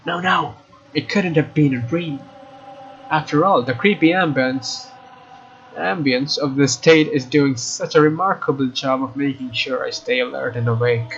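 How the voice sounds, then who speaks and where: A man doing a let's play, reading visual novel narration aloud in a casual, expressive way with informal asides. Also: medium pitch, measured pace. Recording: dull lo-fi mic, faint noise.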